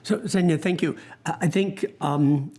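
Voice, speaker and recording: A man's voice: a little high, measured pace, darkish tone. American guy arguing his side in a debate, emphatic, stacks up lists. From United States. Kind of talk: formal debate argument.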